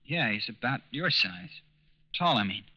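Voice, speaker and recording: A man's voice: mid-range voice, very animated, measured pace. An actor playing a timid, gullible young man who speaks in meek, hesitant boyish slang in a 1940s radio crime drama. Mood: sheepish. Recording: muffled 1940s radio recording.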